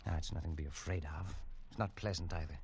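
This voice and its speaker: A man's voice: low-pitched, fast talker, darkish tone, slightly rough, exaggerated voice. A haunted colonial Englishman. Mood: uneasy. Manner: tense, shaken, clipped. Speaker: narrator of his own tale. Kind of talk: 1940s radio acting.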